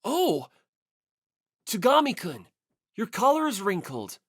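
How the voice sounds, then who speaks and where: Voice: mid-range voice, wildly swooping pitch, takes it slowly, a bit bright, husky, dry recording, clean studio sound, exaggerated voice. A narrator voicing a timid, optimistic, apologetic teenage schoolboy in a manga audiobook, hesitant and eager. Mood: flustered.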